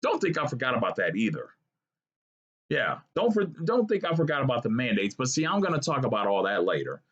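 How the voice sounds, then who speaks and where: A man's voice: mid-range voice, sing-song, fairly quick, a bit bright, breathy edge, lo-fi sound. A man, ranting casually to his viewers in a blunt, profane way with rambling asides. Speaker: vlogger. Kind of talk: casual political rant to camera.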